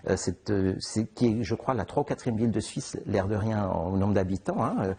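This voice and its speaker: A middle-aged man, answering questions in an interview. His voice is husky and fairly low, and he stumbles through many restarts and fillers as he gathers his thoughts. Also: mellow. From Switzerland. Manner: halting, many restarts and fillers, laughs easily. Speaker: interviewed author. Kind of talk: conversational interview.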